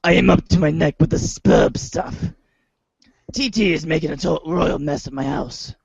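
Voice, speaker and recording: Gravelly, brooding Batman voice. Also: fairly high pitch, moderate pace, dry recording. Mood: gruff, casual. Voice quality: gravelly, husky. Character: brooding gravelly Batman-style boy hero, gruff and dramatic. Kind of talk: comic read-along theater impression. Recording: muffled, dull lo-fi audio.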